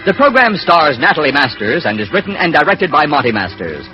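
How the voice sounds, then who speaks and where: A man's voice: a little high, huge pitch swings, brisk. A man announcing scripted credits in the style of 1940s radio. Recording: muffled vintage radio recording. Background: faint music.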